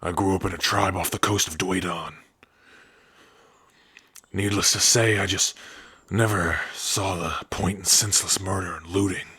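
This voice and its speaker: A gruff orc adventurer, acted by a man, with a low voice that is very raspy. He is an easygoing, protective outcast with a wry streak, talking straight to his companion in a fantasy ASMR roleplay. The sound is dry and close. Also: steady tone, measured pace, bright, forceful tone, dry recording, heavily acted. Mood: wry, reflective. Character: rough, kind-hearted male orc outcast, protective wandering adventurer.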